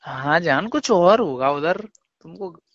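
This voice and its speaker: A man's voice: fairly high pitch, lively intonation, slow, fairly bright tone, dry recording. Young man reassuring on a muffled phone call. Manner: pleading, reassuring. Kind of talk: casual phone chat between a couple. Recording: dull, dry phone call recording.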